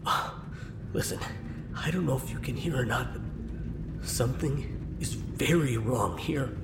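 A man's voice: mid-range voice, unhurried, gravelly, noisy background. A man playing a nervous, good-hearted young delivery guy in an audio drama, hesitant and stammering. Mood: worried. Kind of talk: naturalistic audio drama acting.

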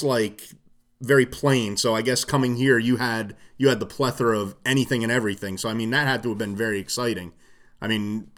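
A man's voice: medium pitch, fairly bright tone. A man co-hosting a casual interview, asking loose, rambling questions with restarts. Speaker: podcast co-host.

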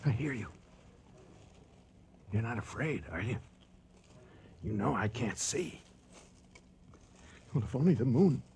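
Halting, haunted lone night hunter. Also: male voice, mid-range voice, vocal fry, a little mellow, heavily acted. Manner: halting, broken fragments, muttering repetition. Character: exhausted, terrified lone hunter stalking a lion at night. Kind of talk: dramatic radio monologue. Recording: noisy old radio drama recording.

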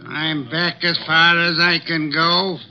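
A pompous, imperious detective holds court in an old radio play. His voice is gravelly and sits a little high. The recording is dull and muffled, like a vintage broadcast. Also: little pitch movement, slow. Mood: dry. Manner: pompous, fussy and imperious, dismissive. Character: fussy pompous portly male detective who never leaves home. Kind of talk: 1950s radio drama acting.

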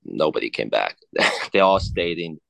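A man in his thirties from China, a native Cantonese speaker, talking haltingly as an interview guest with many fillers and restarts. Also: extremely low pitch, big pitch swings, vocal fry, sharp tone, dry recording, low-quality recording. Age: 30s. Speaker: guest, watch collector. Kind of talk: casual interview chat.

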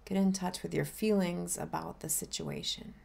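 Lady minister leading a meditation, deep voice w/ some fry, soft and unhurried. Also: very animated. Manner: gentle, unhurried, inviting. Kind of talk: guided meditation.

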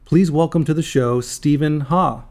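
A man's voice: fairly high pitch, moderate pace, a little mellow. A man hosting a podcast who asks his guest questions in an upbeat, encouraging way. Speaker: podcast host. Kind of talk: podcast interview.

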